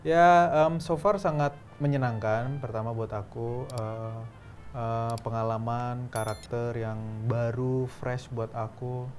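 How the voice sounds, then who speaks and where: A man who is a guest actor, talking in a relaxed, thoughtful way with many fillers. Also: mid-range voice, takes it slowly, faint music behind. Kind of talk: casual interview chat.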